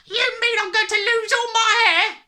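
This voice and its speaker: A woman voicing a blustering, panicky, vain middle-aged British father who yells his lines in a storybook. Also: very high-pitched, steady tone, moderate pace, pitched above the performer's own voice, exaggerated voice. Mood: wailing panic. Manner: yelling, blustering, exclamatory.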